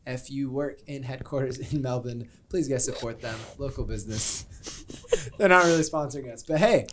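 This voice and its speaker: Male host for a casual interview show, medium pitch, informal and jokey delivery. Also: normal speed, background noise.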